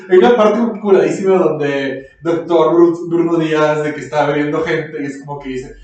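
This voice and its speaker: A Mexican man talks from far off in a very echoey room, his voice on the high side. He rambles casually in slang, like a podcast co-host among friends. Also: normal speed, a little mellow, dull audio. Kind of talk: casual chat reviewing fiction. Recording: very echoey room, distant mic.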